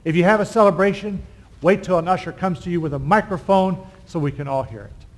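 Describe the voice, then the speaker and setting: A man's voice: high-pitched, a little fast. A man leading a church service, inviting members to share celebrations and thanking each one. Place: church sanctuary.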